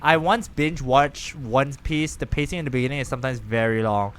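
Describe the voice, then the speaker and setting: A young man live-streaming a game, chatting with viewers in an animated, rambling way. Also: mid-range voice, brisk, clear, resonant tone. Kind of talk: casual live-stream chat while gaming.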